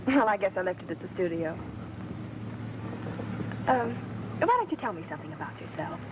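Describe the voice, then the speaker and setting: A woman's voice: lowish voice, very animated, normal speed. A woman acting a plucky, wisecracking young career woman, wry and teasing, in a 1930s screwball film. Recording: dull, noisy vintage film soundtrack.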